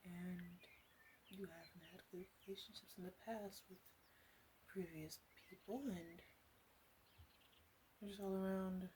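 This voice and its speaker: A flirty, doting witch, played by a woman speaks softly in an ASMR roleplay, often slipping toward a whisper. Her voice sits a little low, and her delivery is intimate, gentle and slightly hesitant. Light ambient noise sits behind her. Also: flat delivery, measured pace, pauses often, lightly acted. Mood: soothing, sympathetic. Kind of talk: ASMR roleplay, soft-spoken with whispered stretches.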